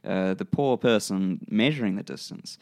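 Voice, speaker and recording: A man's voice: lowish voice, moderate pace, creaky, a little mellow, no echo. A man with a New Zealand accent co-hosting a casual science chat, explaining ideas with dry humor and frequent ums. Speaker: podcast co-host.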